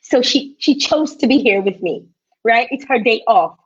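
A woman in her thirties hosting a casual online interview, effusive and fond of shout-outs, heard over a video call. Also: on the high side, lively intonation, normal speed, husky. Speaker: host. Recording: slightly dull lo-fi sound.

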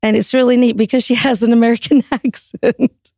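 A woman in her thirties from Portugal with a Portuguese accent, a guest telling her family story in an interview with a measured delivery. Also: fairly high pitch, flat delivery, mellow, no room sound, clean studio sound. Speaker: Salvation Army officer, guest.

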